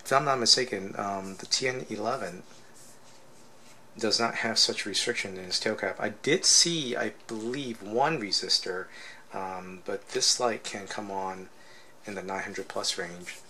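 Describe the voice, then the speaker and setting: A man's voice: lowish voice, measured pace, pushed and bright, a little hiss or hum, rough recording. A man giving a casual, unscripted technical demonstration as a product reviewer, explaining step by step and often checking in with a questioning tag.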